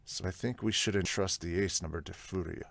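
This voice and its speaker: Low-voiced, blunt man on a podcast. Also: brisk, creaky. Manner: profane, excitable, blunt. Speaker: podcast co-host reviewing manga. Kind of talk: casual reaction chat with comments read aloud.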